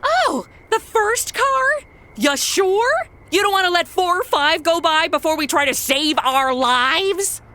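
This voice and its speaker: Comedy audio drama: very high-voiced, sarcastic young female sleuth, flippant and excitable, lively intonation. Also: a little slow, exaggerated voice. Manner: snarky, flippant, exclamatory. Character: sarcastic, excitable modern young woman amateur sleuth, Madison.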